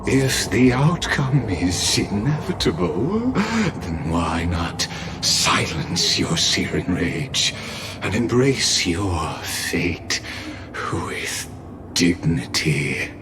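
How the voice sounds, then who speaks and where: Smug game villain, low voice, swooping intonation, theatrical, mocking, patient. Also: male voice, sing-song, music in the background, full character voice. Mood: smug mockery. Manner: mocking, theatrical, drawn-out and deliberate. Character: smug, patient male villain, coolly taunting schemer. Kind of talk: theatrical game cutscene acting.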